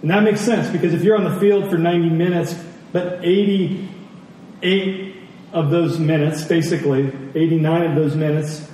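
In a very reverberant hall, picked up from a distance, a man delivers a sermon. His voice is fairly high and earnest. He teaches conversationally, repeating key phrases and posing questions to his listeners. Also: fairly even intonation, normal speed, warm tone. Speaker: preacher. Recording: very echoey hall, distant mic, faint noise.

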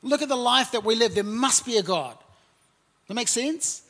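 A man's voice: extremely high pitch, expressive, normal speed, bright, forceful tone. A man in his forties with a New Zealand accent, preaching as a pastor in a conversational way, full of "and, uh" fillers. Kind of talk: sermon. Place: church auditorium.

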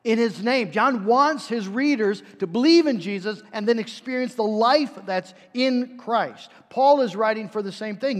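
A man preaching a sermon in a conversational way, with frequent fillers and restarts. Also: very high-pitched. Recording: reverberant hall.

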